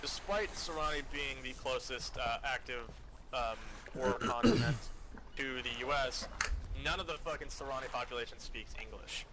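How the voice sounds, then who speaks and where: A man's voice: mid-range voice, lively intonation, normal speed, crowd noise, rough recording. A foul-mouthed, bossy man giving an improvised mission briefing as squad leader over online game voice chat. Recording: loud background noise, game ambience.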